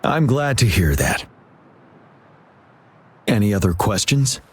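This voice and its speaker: A man playing a calm, courteous military general in a video game, formal and reassuring. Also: lowish voice, slow, slightly rough, heavily acted. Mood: calm, kindly.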